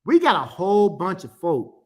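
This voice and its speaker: A pastor in his fifties with a drawl teaches his listeners in a lively voice that climbs and falls a lot. He repeats key phrases for emphasis and keeps calling out to his audience. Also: sits high, normal speed. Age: 50s. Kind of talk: teaching talk to an online audience.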